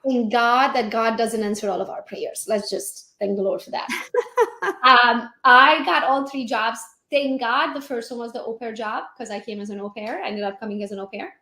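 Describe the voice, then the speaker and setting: A woman from Transylvania with a Hungarian accent, telling her life story as an interview guest in a lively, laughing way. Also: on the high side, moderate pace, reverberant. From Transylvania, Romania. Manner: animated, laughs often, acts out quotes. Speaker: guest, real estate agent.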